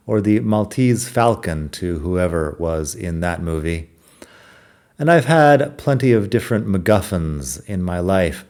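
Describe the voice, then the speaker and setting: A man's voice: fairly low pitch, a little mellow. A middle-aged American man hosting a podcast, reading his own scripted personal essay in a wry, self-deprecating, reflective way. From United States. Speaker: podcast host.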